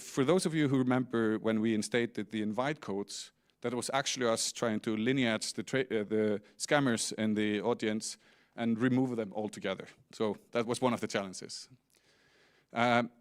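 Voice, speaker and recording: A middle-aged man with an Icelandic accent gives a conference presentation as a company founder, explaining things with light humor and small restarts. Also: mid-range voice. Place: large indoor conference stage.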